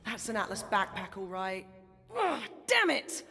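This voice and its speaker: A woman's voice: mid-range voice, sing-song, measured pace, bright, forceful tone, long reverb tail, soft background music, heavily acted. A woman with a British accent voicing a blunt, feisty, exasperated soldier in a video game. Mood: intent. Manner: blunt, slangy. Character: feisty, exasperated female soldier leading an investigation.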